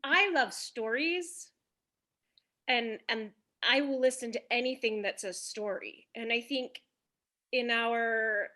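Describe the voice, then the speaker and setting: A woman's voice: fairly high pitch, takes it slowly, sharp tone. A woman talking casually and reflectively, with an earnest manner. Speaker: cast member of an audio drama.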